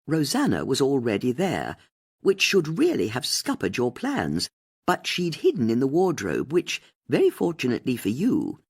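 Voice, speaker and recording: Female narrator, scripted mystery audiobook, very lively intonation, husky tone. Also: rumbling low.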